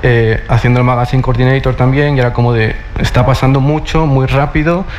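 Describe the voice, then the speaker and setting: A man's voice: mid-range voice, fairly even intonation, a little fast, darkish tone, muffled recording, a little hiss or hum. A young man from Madrid, a curator, talking casually on a panel in a large auditorium and mixing in English terms. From Madrid, Spain. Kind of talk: panel talk.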